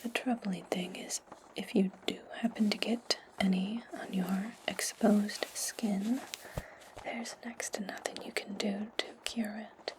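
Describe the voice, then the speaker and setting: Sly, commanding witch played by a woman for ASMR fantasy roleplay: whispered, even-toned, slow and deliberate instructions to her servant. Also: medium pitch, natural voice, poor audio. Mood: hushed, instructive.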